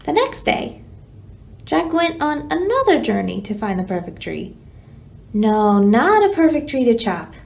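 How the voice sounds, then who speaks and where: A woman hosting a library storytime, reading a children's picture book aloud in an expressive, dramatic way. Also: a little high, a little slow, creaky.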